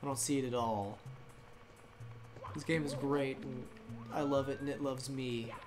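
A man's voice: medium pitch, fairly even intonation, measured pace. Chatty man with game music behind. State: losing his voice. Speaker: let's play host. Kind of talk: casual gameplay chat.

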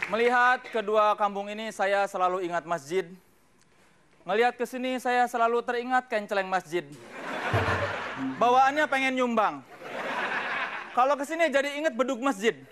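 A man's voice: very high voice, normal speed, long pauses. A man in his thirties hosting a stand-up comedy show, teasing the audience in a live TV studio. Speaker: stand-up comedy host playing a neighborhood chief. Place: large TV studio stage with audience. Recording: TV studio with loud crowd laughter and noise.

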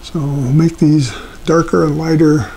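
An older man demonstrating a watercolor painting as an instructor, talking himself through each step with frequent ums and pauses. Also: on the high side, creaky, breathy edge, low-quality recording. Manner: relaxed, thinking aloud with many ums. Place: art studio at a painting table.

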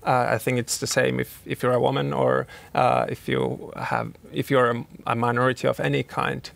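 A man's voice: mid-range voice, level tone, normal speed, vocal fry, fairly bright tone, dry recording. A man in his thirties, a company CEO, answering panel questions in a hesitant way with many restarts and fillers. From Finland.

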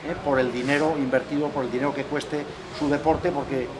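A man's voice: medium pitch, fairly even intonation, brisk. A middle-aged man hosting an interview in a casual, conversational way, often ending sentences with tag questions. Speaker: interviewer, club host. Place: outdoor courtyard with artificial grass. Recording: outdoor recording with clear background noise.

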